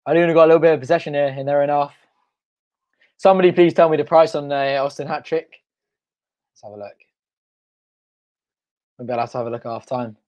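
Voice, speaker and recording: Excitable young man, voice going hoarse. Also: on the high side, a little fast, long pauses. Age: young adult. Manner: excitable, shouting and chanting at goals. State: losing his voice. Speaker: fan channel host. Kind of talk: live football watch-along commentary. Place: home front room.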